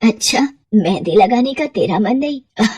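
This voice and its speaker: A woman voicing a caring, middle-aged widowed mother, weary and worried, with a gentle, plaintive manner in an audio story. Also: on the high side, animated, moderate pace, husky. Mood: weary, sick.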